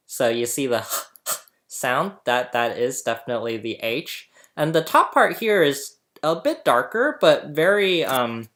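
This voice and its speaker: Slow-talking man explaining with chuckles. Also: medium pitch, a bit bright. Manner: chatty, laughs often, restarts while explaining. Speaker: host explaining phonetics. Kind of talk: casual tutorial. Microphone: laptop webcam mic.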